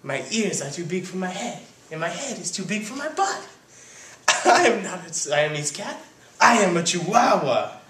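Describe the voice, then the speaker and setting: A storybook reader voicing an excitable, boastful little kitten in an exclamatory, theatrical way. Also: high voice, normal speed, few pauses, distant mic, voice pushed up. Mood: dramatic, proud. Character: excitable, boastful little kitten who thinks he's a Chihuahua. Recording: roomy echo, background noise.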